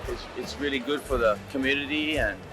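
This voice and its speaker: A man's voice: medium pitch, a little fast, music in the background. A man speaking earnestly and casually about a community event. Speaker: festival-goer.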